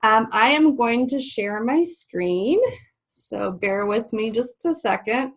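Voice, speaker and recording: A woman's voice: fairly high pitch, expressive, takes it slowly. A woman hosting a webinar, a little stuffy and nasal, talking in a friendly, chatty way with many ums. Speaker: webinar host. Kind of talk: live webinar presentation. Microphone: video call.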